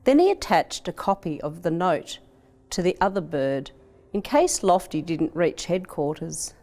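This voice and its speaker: An Australian woman reads a picture book to children. Her voice sits slightly on the low side for a woman, and her delivery is measured and expressive. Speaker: education officer reading a picture book. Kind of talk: children's storytime read-aloud.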